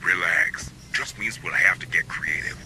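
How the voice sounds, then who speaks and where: Deep, hard-edged military squad leader. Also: male voice, a little slow, bright, forceful tone, soft background music, exaggerated voice. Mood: calm, cynical. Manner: terse, commanding, dry. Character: hardened, wry, cynical male military squad leader. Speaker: squad commander. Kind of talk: video game cutscene acting.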